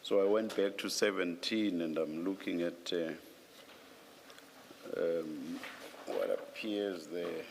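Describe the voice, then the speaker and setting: A man's voice: low-pitched, fairly even intonation, slow, long pauses, slight background noise. A man chairing a formal hearing, questioning hesitantly and repeating words as he thinks aloud. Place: hearing room.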